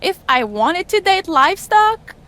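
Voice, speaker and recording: A disdainful beauty in a wrestling game scene. Her high voice takes its time and swings up and down, dripping with haughty scorn. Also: big pitch swings, unhurried, pushed and bright, heavily acted. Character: disdainful, haughty woman rejecting a suitor. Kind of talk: scripted game cutscene dialogue.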